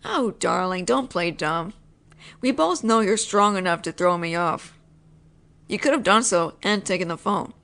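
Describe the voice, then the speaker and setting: A young woman voice actor in an intimate audio roleplay, playing a possessive, teasing roommate who talks low and lingers, sometimes sinking into whispers. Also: expressive, a little slow, gravelly, fairly bright tone.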